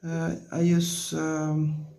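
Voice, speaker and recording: A woman with a non-native English accent giving a relaxed watercolour lesson in short, gently encouraging phrases while she works. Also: low-pitched, drawn-out words. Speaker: watercolour tutorial instructor. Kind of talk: relaxed painting tutorial, talking while working.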